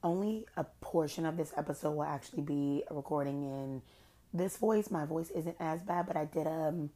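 A young adult woman hosting her own podcast, hoarse from losing her voice, chatting in a rambling, gossipy way. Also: normal speed, mellow. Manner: chatty, rambling, self-described low-key shady. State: hoarse, has lost her voice. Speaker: podcast host. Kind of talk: casual solo podcast chat.

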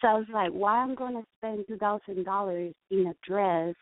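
A woman's voice: mid-range voice, normal speed, a little hiss or hum. 30s woman w mexican accent, lots of likes and ums, phone-line sound. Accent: Mexican Spanish. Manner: hesitant, full of likes and ums. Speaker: caller. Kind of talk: phoning in for advice.

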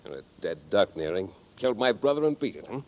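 A man's voice: lowish voice, very animated, fast talker. A sneering, menacing gunman. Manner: gruff, sneering, threatening. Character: menacing gun-toting brother, Franklin. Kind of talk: 1940s radio acting. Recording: dull old radio recording with faint hiss.

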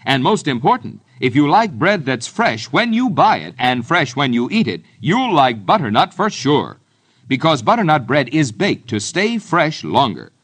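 Lively, persuasive American radio ad man. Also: fairly high pitch, normal speed. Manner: folksy, persuasive. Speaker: commercial announcer. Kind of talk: old-time radio advertising read.

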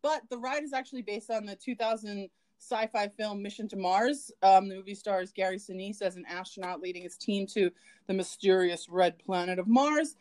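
A woman co-hosting a podcast in relaxed conversation, chatty and giggly, with plenty of ums and likes. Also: medium pitch, moderate pace. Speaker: podcast co-host. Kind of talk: casual chat.

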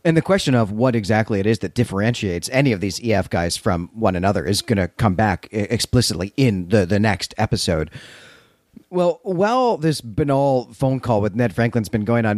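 A man's voice: fairly low pitch, expressive, brisk, a little mellow, no room sound, studio quality. A man co-hosting a podcast, retelling and discussing a story in an articulate, explanatory way. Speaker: podcast co-host. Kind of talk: literary recap discussion, semi-prepared retelling.

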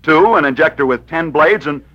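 A man hosting in a theatrical, emphatic 1940s radio style, with scripted narration and dramatic pauses. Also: on the high side, expressive, a bit bright. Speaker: radio host and storyteller. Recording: muffled old radio broadcast, faint music.

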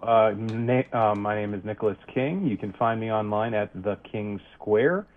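A man's voice: fairly low pitch, moderate pace. A man player introducing himself plainly, with a hesitant start. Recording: tinny phone-like line.

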